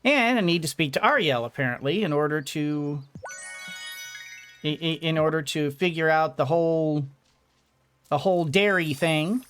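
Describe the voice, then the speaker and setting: A woman giving casual video game commentary, halting as she thinks aloud. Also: deep voice, expressive. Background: game music.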